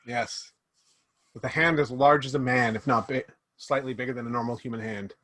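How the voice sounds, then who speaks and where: A man running a tabletop role-playing game over a video call, narrating scenes slowly and descriptively. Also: mid-range voice, fairly even intonation, fairly bright tone. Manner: slow, drawn-out descriptive narration. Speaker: game master. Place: home room indoors. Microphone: video call webcam mic.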